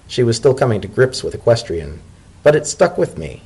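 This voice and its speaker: A man's voice: medium pitch, big pitch swings, gravelly, mellow, slightly rough, full character voice, rough recording. A man voicing a polite, thoughtful pony diplomat in a storybook reading, speaking formally and sincerely. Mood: tender, reflective. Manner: formal, measured, sincere speech. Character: earnest, polite male pony civil servant, thoughtful diplomat.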